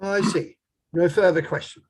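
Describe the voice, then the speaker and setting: A methodical inquiry lawyer played by a man in a play reading with a notably high-pitched voice for a male. He questions formally and precisely, probing point by point. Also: steady tone, moderate pace, darkish tone. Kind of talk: table read of an inquiry play.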